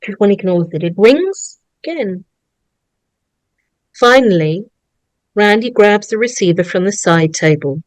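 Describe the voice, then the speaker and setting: A woman's voice: medium pitch, lively intonation, measured pace, pauses often, no echo. A woman narrating at a script table read, reading out screenplay stage directions.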